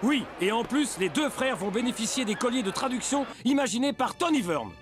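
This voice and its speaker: A man playing an excitable, smug, talkative football commentator in a cartoon, with music behind him. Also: very high-pitched, fairly quick. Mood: brisk, confident. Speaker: match commentator.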